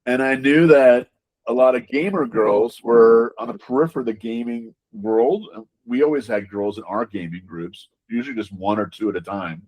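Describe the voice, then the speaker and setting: A man's voice: medium pitch, normal speed, a little mellow, poor audio. A man giving conversational interview answers as a game designer, emphatic, repeating words and often checking in with rhetorical questions.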